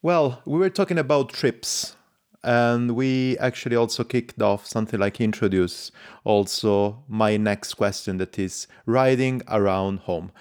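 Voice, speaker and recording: A man's voice: medium pitch, a little slow, clear, resonant tone. Enthusiastic man hosting a podcast. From lives in Switzerland. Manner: enthusiastic, non-native phrasing. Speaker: podcast host. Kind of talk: casual podcast interview.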